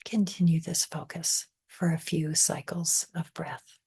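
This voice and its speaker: A woman leading a guided meditation in a soft, breathy, often near-whispered voice, calm, measured and soothing. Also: low-pitched. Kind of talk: guided meditation, scripted narration.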